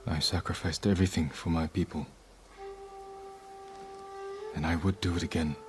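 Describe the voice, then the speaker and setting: Actor as deep-voiced, stoic samurai hero. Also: male voice, steady tone, normal speed, over music, close to an everyday voice. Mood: resolute, sorrowful. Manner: solemn, restrained, earnest. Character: stoic, resolute samurai warrior, loyal and duty-torn. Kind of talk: dramatic video game cutscene acting. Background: cinematic score.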